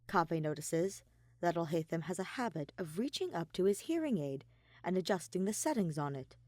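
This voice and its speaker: A woman reading a piece of fiction aloud as an expressive, emphatic narrator. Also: fairly low pitch, no echo, studio quality. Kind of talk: expressive scripted story narration.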